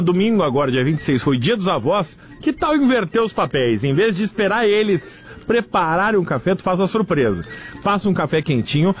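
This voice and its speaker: A man with a southern Brazilian accent, hosting a radio show in a casual, bantering style. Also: high-pitched.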